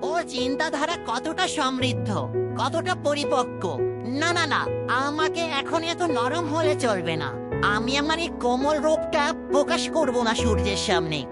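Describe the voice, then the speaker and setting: A woman's voice: high voice, lively intonation, measured pace. A woman voicing a stern, proud village father in a cartoon, speaking firmly. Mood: secretly moved. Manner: firm, declarative scolding. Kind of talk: cartoon dubbing. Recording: music bed behind voice.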